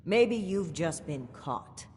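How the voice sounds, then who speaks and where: A dogged military investigator in a video game. Her voice is low, her manner formal, righteous and accusing. It rings with long reverb. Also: animated, takes it slowly, a little mellow, slight background noise. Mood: suspicious, accusing. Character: stern, righteous female soldier investigator, dogged and suspicious. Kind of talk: video game character dialogue.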